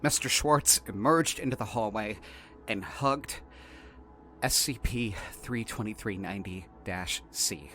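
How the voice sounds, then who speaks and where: A man playing a shaken, exasperated researcher, haltingly dictating an incident report in an audio drama. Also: lowish voice, sharp tone. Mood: formal, uneasy. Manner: halting, flustered, repeating words. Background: faint music.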